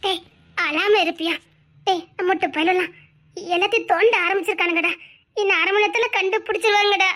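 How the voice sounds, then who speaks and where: A woman's voice: very high voice, fairly even intonation, a little fast, sharp tone. An actress playing a family woman who firmly reassures and scolds a grieving man, telling him to stop crying. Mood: reassuring. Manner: scolding yet reassuring, calls him "daa". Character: firm, comforting woman of the family. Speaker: relative consoling a grieving man. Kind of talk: serial drama acting.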